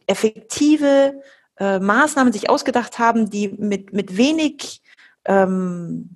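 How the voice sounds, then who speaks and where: A woman's voice: medium pitch, animated, slow pace, a little mellow. A woman logistician talking through her fieldwork in a podcast conversation, explaining with many fillers and restarts.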